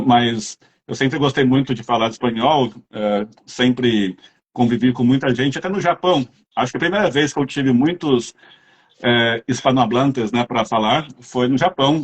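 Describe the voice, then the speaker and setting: A man's voice: medium pitch, normal speed. A man with a Brazilian accent, chatting casually as an interviewer and telling rambling anecdotes. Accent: Brazilian Portuguese.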